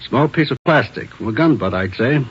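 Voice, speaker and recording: A man's voice: medium pitch, animated, a little fast, slight background noise, close to an everyday voice. A man acting a precise, insistent police lab technician in a 1940s radio drama, explaining things emphatically. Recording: thin, muffled 1940s radio recording.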